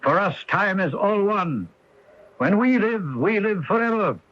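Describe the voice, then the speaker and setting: An actor in a radio drama playing a solemn, formal spirit from another dimension. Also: male voice, high voice, lively intonation, unhurried, a bit bright, heavily acted. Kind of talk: 1980 radio drama acting. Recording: dull vintage radio broadcast.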